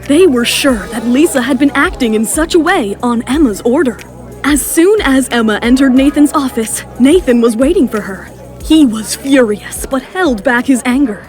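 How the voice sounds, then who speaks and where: A woman's voice: high-pitched, lively intonation, normal speed, darkish tone. A woman reading dramatic scripted narration as the narrator of an audio drama. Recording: music behind the voice.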